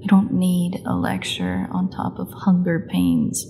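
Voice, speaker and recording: A starving female vampire held captive, acted by a woman in a dark audio drama. Her voice is mellow and creaky, with a taunting, flirtatious edge that barely covers her desperation. She often slips into breathy half-whispers, over faint background noise. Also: fairly low pitch, sing-song, heavily acted. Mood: bitter, sarcastic. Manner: sardonic, teasing, breathy half-whispers, slow deliberate delivery. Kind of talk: scripted audio roleplay monologue.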